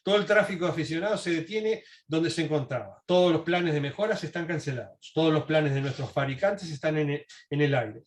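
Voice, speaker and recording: A man giving a history presentation, reading and commenting in a halting, self-correcting way. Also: fairly high pitch, expressive. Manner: halting, with frequent self-corrections and throat clearing. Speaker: lecturer. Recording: echoey, roomy sound.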